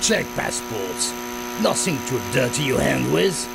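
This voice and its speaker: A wary underworld boss with a heavily creaking, gravelly voice. His delivery is sardonic and moves widely in pitch. It is game cutscene dialogue over loud humming noise. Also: sing-song, unhurried, full character voice. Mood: businesslike, guarded. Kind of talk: video game cutscene acting. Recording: loud hum and noise behind voice.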